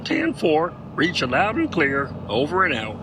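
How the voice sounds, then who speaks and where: A man's voice: a little high, wildly swooping pitch, a little slow. A man playing a cocky, dim, macho American trucker and amateur detective in a comic audio drama, folksy and self-assured. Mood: confident. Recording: noisy vehicle and road ambience.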